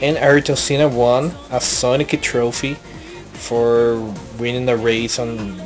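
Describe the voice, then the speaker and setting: Young Brazilian man, Brazilian Portuguese accent, halting and earnest, casual home-made vlog. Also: mid-range voice, little pitch movement, music in the background. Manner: halting, many restarts and self-corrections, earnest. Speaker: YouTuber.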